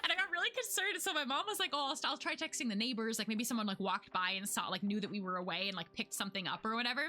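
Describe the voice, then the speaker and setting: A young woman streamer chatting casually to her viewers, full of "like" fillers and quick laughs, her voice getting worn out. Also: a little high, pushed and bright. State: losing her voice. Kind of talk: casual chat with live chat.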